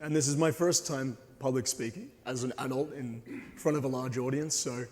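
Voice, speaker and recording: Slightly hoarse man, earnest and halting, with a long hall reverb around his voice. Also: mid-range voice, a little mellow. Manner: hesitant, frequent ums, earnest. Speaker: conference speaker. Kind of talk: presentation talk with slides. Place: conference hall.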